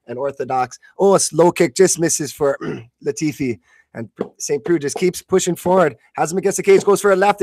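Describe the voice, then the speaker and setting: A man calls a fight live in a fairly high male voice, close and dry on the recording. He narrates blow by blow as a radio commentator, haltingly, often repeating words and fixing his phrases as he goes. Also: darkish tone, no room sound. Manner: halting, repeats and corrects himself mid-phrase.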